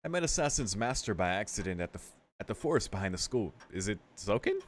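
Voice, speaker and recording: A man with a fairly low voice reads visual novel text aloud for a let's play. He drifts between narration and casual, sweary asides, muttering his reactions as he goes. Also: sing-song, quick pace, a little hiss or hum. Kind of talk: casual gaming commentary mixed with read-aloud narration.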